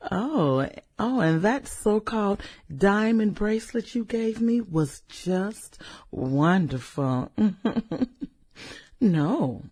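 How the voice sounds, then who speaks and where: An actress in a satirical radio drama playing a sultry, manipulative businesswoman with a theatrical, coquettish manner and breathy delivery. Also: medium pitch, lively intonation, darkish tone, full character voice. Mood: flirty, teasing. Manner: theatrical, coquettish, drawn-out exclamations and giggles. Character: sultry, demanding, manipulative businesswoman juggling rich lovers.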